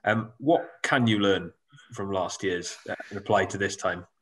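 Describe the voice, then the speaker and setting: A man's voice: low-pitched, normal speed, a bit bright, slight background noise. A man, a reporter, asking polite questions at a press conference over a video call.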